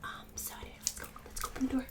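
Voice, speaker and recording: A woman's voice: racing, recorded from a distance, close to an everyday voice. A woman playing a snobbish, bossy suburban book club hostess in an ASMR roleplay, condescending and catty with fake-sweet insults. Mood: fake-sweet, bragging. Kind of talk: ASMR roleplay, whispered and soft-spoken.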